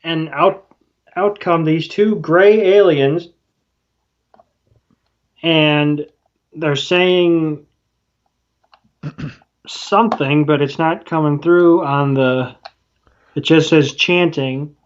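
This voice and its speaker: A man's voice: a little high, steady tone, extremely slow, long pauses, dull audio. A man co-hosting a podcast, casually retelling a show in a folksy, mildly profane way. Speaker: podcast co-host. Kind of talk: casual episode recap chat.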